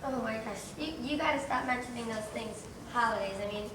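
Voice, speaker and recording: High-voiced woman as scoffing fish classmate, echoey. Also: fairly quick, recorded from a distance, close to an everyday voice. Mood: exasperated. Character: scoffing young fish classmate, skeptical friend. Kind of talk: children's stage play acting. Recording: echoey, noisy hall from afar.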